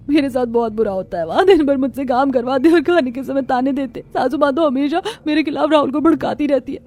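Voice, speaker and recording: A woman's voice: high voice, a little mellow. A woman playing a wronged, principled young married daughter in a cartoon family drama, plaintive and earnest. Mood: tearful, pleading.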